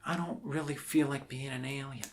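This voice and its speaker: A man doing soft, improvised ASMR roleplay as a bumbling, friendly doctor, rambling and apologetic in a scatterbrained way. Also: mid-range voice, fairly even intonation, moderate pace, slight background noise. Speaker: ASMR performer playing a doctor.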